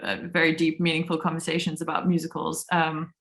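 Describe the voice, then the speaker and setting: A woman musician from Denmark answering interview questions in a relaxed, thoughtful way. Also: low-pitched, fairly quick, creaky. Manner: relaxed, thoughtful, trailing off and restarting.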